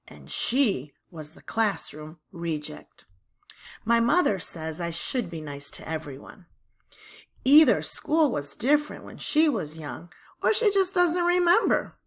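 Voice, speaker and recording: Slow-paced woman reading a story aloud. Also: fairly low pitch, creaky, fairly bright tone. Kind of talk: expressive read-aloud narration.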